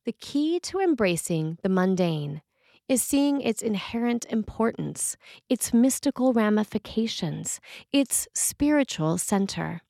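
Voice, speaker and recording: A woman preacher reading a written sermon in a measured, reflective and earnest way. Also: mid-range voice, gravelly, a little mellow, no room sound, clean studio sound.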